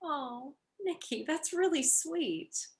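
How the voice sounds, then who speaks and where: Actress as clever young wife, playful, arch, animated pitch, slow delivery, radio drama. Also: female voice, heavily acted. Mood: playful teasing. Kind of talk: vintage radio play acting.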